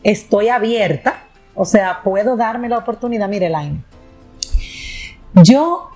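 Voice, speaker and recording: A woman's voice: medium pitch, expressive, takes it slowly, warm tone, soft background music. A woman hosting a talk, speaking conversationally and often restarting and correcting herself mid-sentence. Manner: rambling, self-correcting, restarts with fillers. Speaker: host.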